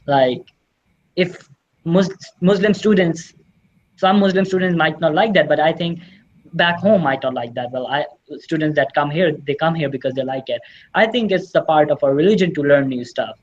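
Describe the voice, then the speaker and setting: A man's voice: fairly high pitch, moderate pace, lo-fi sound. Earnest young man from Pakistan. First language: Urdu. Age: teens. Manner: earnest, enthusiastic, repeats "really" often. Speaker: exchange student guest. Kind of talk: casual interview answers.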